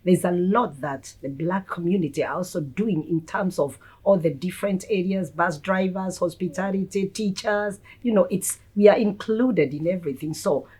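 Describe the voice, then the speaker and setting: Middle-aged councillor, woman, husky low voice, earnest. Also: darkish tone. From Kenya. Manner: measured, earnest, with many "you know"s. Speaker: local councillor. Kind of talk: panel discussion.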